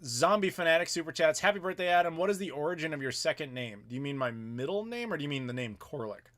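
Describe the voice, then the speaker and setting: A man's voice: a little high, expressive, fast, fairly bright tone. Chatty man in his thirties. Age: 30s. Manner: rambling, chatty, reads viewer messages aloud. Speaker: YouTuber host. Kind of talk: casual live Q&A chat.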